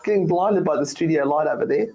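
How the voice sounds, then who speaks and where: An older Australian woman co-hosting a radio show, hoarse from a cold, chatty and joking in studio banter. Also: low voice, quick pace, mellow, low-quality recording. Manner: chatty, joking, breaks into laughs. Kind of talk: casual banter on air.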